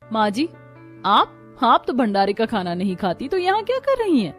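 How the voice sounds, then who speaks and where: A woman's voice: a little high, wildly swooping pitch, moderate pace. A woman voicing a feisty, sarcastic young Indian daughter-in-law in a moral story cartoon, with a sassy, cutting, indignant manner. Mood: surprised. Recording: music behind the voice. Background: background music.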